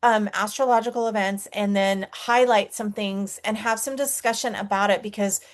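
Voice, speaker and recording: A woman's voice: medium pitch, steady tone, moderate pace. A woman from the United States hosting a spiritual interview show, talking enthusiastically and gushing about her guest. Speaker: spiritual podcast host. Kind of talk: conversational interview.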